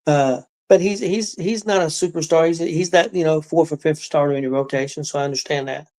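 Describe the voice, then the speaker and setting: A man in his sixties with a slightly high voice, co-hosting a relaxed sports chat. He talks haltingly, padding his thoughts with fillers. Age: 60s. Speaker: co-host. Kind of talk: casual sports talk.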